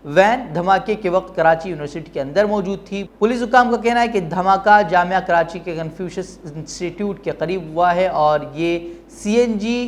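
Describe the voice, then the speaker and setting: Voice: mid-range voice, normal speed, few pauses. A news presenter with an Indian accent reading a bulletin with some commentary. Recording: clear background noise.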